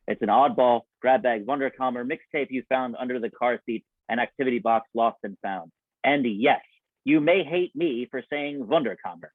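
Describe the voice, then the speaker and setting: A man's voice: medium pitch, no room sound. Dull-sounding, dry theatrical male radio announcer. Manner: theatrical, deliberate announcer delivery. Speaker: announcer and narrator. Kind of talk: scripted old-time radio announcing. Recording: dull, band-limited radio broadcast sound.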